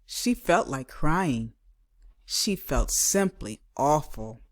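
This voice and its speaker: A woman with a fairly low voice reads a children's storybook aloud. She is expressive and emphatic, telling the story in the manner of a teacher reading to pupils. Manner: dramatic, emphatic delivery with acted character voices.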